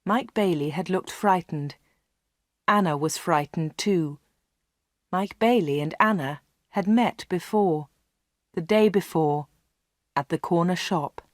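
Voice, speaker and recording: Woman reading a learners' story, clear. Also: on the low side, dry recording, studio quality. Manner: clear and measured. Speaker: audiobook narrator. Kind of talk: slow read-along storytelling for learners.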